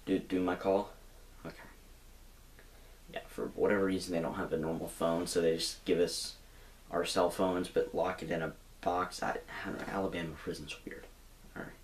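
A man's voice: sits low, level tone, moderate pace, pauses often. A young man plays a glum young jailbird in a mockumentary comedy, rambling with hesitant restarts, recorded by a camera in a small bedroom. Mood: resigned. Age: young adult.

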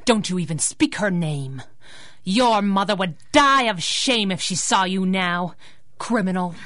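Feisty, bright-voiced masked heroine. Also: female voice, medium pitch, lively intonation, unhurried. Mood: angry. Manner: sassy, streetwise wisecracking. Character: feisty young masked heroine sidekick, tough and sassy. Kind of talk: 1930s radio drama acting.

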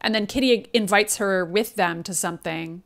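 A woman's voice: medium pitch, moderate pace, a bit bright. A woman co-hosting a live table read over a group video call, chatting casually between lines. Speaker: co-host and table reader.

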